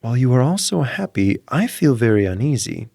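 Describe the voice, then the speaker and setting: A man gives voice to a worried youngest princess in a storybook, uneasy and hesitant. The tone is a little husky, close and dry. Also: mid-range voice, very animated, a little fast, mellow, no echo, voice pushed up.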